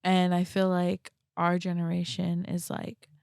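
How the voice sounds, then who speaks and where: A young woman talking reflectively and casually as a podcast guest, halting often and peppering her speech with "like". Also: fairly low pitch, monotone, creaky, darkish tone, clear, resonant tone, dry recording, clean studio sound. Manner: halting, frequent "like" as verbal filler.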